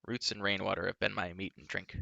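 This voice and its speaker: A man doing a hoarse, scornful young prince. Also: level tone, a little fast, sharp tone, no room sound, dull audio, full character voice. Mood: contemptuous. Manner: cold, contemptuous, low-spoken.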